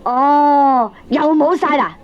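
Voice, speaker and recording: A woman playing a sharp-tongued middle-aged gambling wife in a comedy radio drama, scolding sarcastically in Hong Kong Cantonese. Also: high voice, slow pace. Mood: scornful. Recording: dull old cassette radio drama recording.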